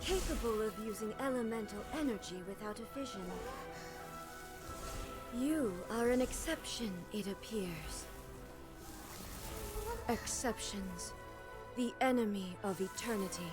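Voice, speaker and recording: An actress playing a cold, imperious godlike ruler in a video game, solemn and detached. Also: female voice, mid-range voice, a little slow, pauses often, over music, close to an everyday voice. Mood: cold menace. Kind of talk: English video game voice acting.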